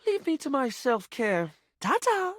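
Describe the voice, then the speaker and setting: Flamboyant, wildly swooping high-voiced dandy. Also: male voice, wildly swooping pitch, measured pace, a bit bright, no room sound, studio quality, full character voice. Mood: huffy. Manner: camp, melodramatic, affected, fond of "darling". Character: flamboyant, theatrical, beauty-obsessed male dandy, scatterbrained and insecure. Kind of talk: expressive video game character acting.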